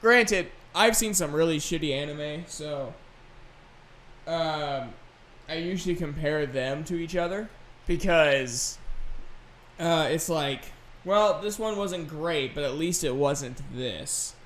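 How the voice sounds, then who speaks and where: A man hosting a solo podcast, chatting casually and unscripted in a rambling, halting way. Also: on the high side, fairly bright tone, a little hiss or hum. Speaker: podcast host and gaming video creator.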